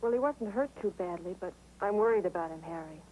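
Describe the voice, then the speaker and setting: A woman's voice: lowish voice, quick pace, natural voice, poor audio. An actress playing a worried, weary American wife and mother in a 1950s TV drama.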